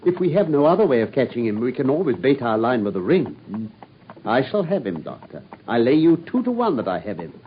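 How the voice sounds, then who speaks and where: An actor playing a sharp, brilliant male detective who talks in a brisk, commanding way, in a BBC radio drama. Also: mid-range voice, slight background noise, close to an everyday voice. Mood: animated. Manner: brisk, incisive, commanding, lively intonation. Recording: dull lo-fi old radio broadcast.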